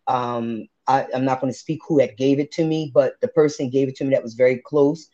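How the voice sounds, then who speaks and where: A woman's voice: a bass voice, steady tone, a little fast, dark tone. A woman in her forties sharing her personal faith testimony, speaking candidly and earnestly with religious phrasing. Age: 40s. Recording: dull lo-fi podcast audio.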